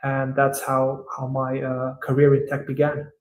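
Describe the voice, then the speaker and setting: A man's voice: mid-range voice, monotone. Hesitant man from Romania, roomy echo. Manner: thoughtful, hesitant, many "uh" pauses. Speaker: guest, tech entrepreneur. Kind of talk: interview answers.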